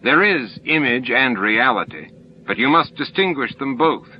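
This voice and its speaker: A man voicing cryptic alien entities in an audiobook, solemn and insistent, with deliberate, stilted phrasing and pauses between words. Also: medium pitch, animated, highs cut off, slight background noise, pitched above the performer's own voice, natural voice. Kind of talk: audiobook character dialogue.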